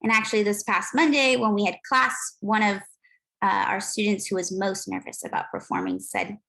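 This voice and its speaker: A woman's voice: medium pitch, animated, moderate pace, vocal fry, sharp tone, rough recording. A woman teacher giving a prepared talk about her class, earnest and sprinkled with ums.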